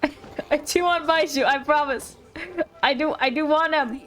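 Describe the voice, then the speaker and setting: A woman live-streaming a game in a fairly high voice. She chats casually and playfully, rambling into mock-indignant asides, with some music behind her. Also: moderate pace, fairly bright tone.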